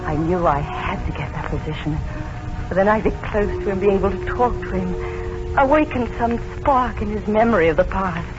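A woman's voice: medium pitch, close to an everyday voice. An actress playing a devoted, lovelorn young secretary in an old radio drama, speaking earnestly and emotionally as she tells her story. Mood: wistful, yearning. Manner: earnest, emotional, halting when flustered. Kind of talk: 1940s radio drama acting with first-person narration. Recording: muffled old radio recording with music behind.

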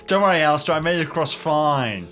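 A man's voice: fairly high pitch, expressive, fast talker, sharp tone, faint music behind. A man playing in an improvised audio drama, casual, eager and stammering as he talks. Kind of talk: casual improvised role-play.

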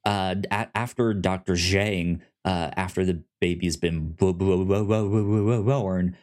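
A man co-hosting a podcast in casual chat, recapping a story in a halting way, often repeating words and syllables. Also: sits low, fairly even intonation, darkish tone. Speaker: podcast co-host.

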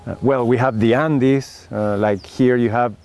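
Slow, gravelly Chilean man, halting. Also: medium pitch, fairly even intonation, a little mellow. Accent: Chilean Spanish. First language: Spanish. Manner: halting, many fillers and restarts, earnest. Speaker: winemaker interviewee. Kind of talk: oral history interview.

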